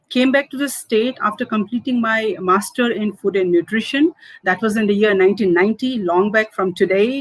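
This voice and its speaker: A Manipuri woman in her fifties tells her life story in English, her third language after Hindi and Manipuri. She talks haltingly, with many fillers and soft laughs, at a middle pitch, and the room sounds dry and close. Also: dry recording, rough recording. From Manipur, India. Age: 50s. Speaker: food entrepreneur. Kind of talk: interview answers, personal story.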